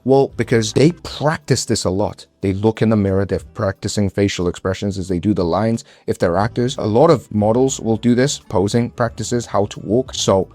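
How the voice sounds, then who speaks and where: A man calmly explaining self-improvement tips in a played video clip. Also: fairly low pitch, normal speed, few pauses, no room sound. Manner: calm, explanatory coaching. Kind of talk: instructional advice video.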